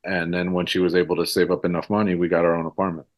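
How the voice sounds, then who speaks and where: A man's voice: deep voice, level tone, fast talker. A man in his forties from Mexico, Spanish his first language, chatting casually as a guest and rambling with many fillers. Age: 40s. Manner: rambling, lots of fillers like "you know". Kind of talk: casual conversation.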